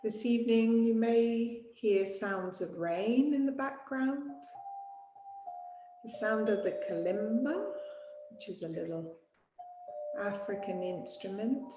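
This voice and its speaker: A woman teaching yoga nidra, guiding a sleep meditation in a gentle, soothing, unhurried manner with long pauses. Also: a little high. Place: small studio. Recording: roomy echo, music behind voice.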